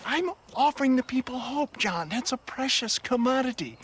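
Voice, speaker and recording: An actor playing a wheedling old American peddler in a Western video game, with theatrical huckster patter that hems and haws. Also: male voice, extremely high pitch, lively intonation, normal speed, a bit bright, slight background noise. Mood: sheepish, wheedling. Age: older. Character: wheedling old male snake-oil peddler in the Old West. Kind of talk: video game character acting.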